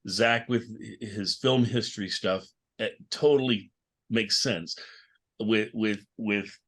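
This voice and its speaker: A man's voice: fairly low pitch, fairly even intonation, takes it slowly, a bit bright. A man hosting a casual panel chat, rambling along and teasing the others in a joking way. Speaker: podcast host moderating a panel. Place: online video call panel.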